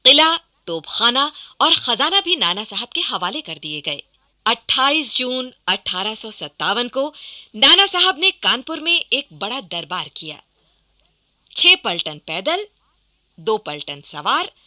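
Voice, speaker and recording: A woman's voice: on the high side, sing-song, slow, pushed and bright. A woman narrating a historical radio play in an emphatic, formal storytelling manner from a script.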